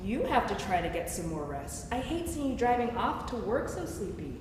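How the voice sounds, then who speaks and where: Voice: mid-range voice, very animated, normal speed, natural voice. A young woman reading the part of an anxious, quippy, affectionate man in a staged play. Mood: teasing, affectionate. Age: young adult. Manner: dramatic, quippy. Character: Wes, anxious, sarcastic man cooped up at home, fond of his partner. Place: staged reading in a large echoey room. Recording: echoey hall, far mic, faint noise.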